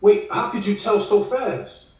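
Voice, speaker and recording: A man acting an excitable, suspicious garage boss in a table read. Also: high voice, unhurried. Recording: echoey room, distant video call mic.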